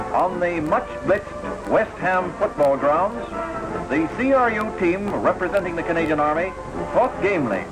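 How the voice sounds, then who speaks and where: A man's voice: high-pitched, poor audio. A man reading scripted 1940s newsreel narration in a formal, patriotic announcer manner, on an old film soundtrack. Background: loud newsreel music.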